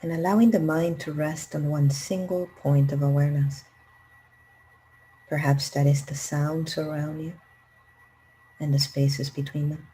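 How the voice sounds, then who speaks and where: Low, mellow woman guiding meditation. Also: slight background noise, poor audio. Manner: calm, unhurried, gentle, with long pauses. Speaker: meditation guide. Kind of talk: guided meditation, slow spoken instructions.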